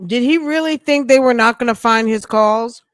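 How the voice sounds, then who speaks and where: A woman hosting a podcast, chatting casually and firing off quick, probing questions. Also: a little high, no echo, studio quality. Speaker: podcast host.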